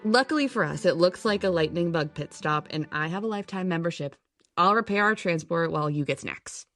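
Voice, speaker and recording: A woman's voice: fairly low pitch, lively intonation, a little fast, fairly bright tone, no room sound. A woman playing a grudging, exasperated young daughter and mechanic in an audio drama, with a sarcastic, grumbling manner. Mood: wry. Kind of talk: audio drama acting and narration. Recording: music under the voice.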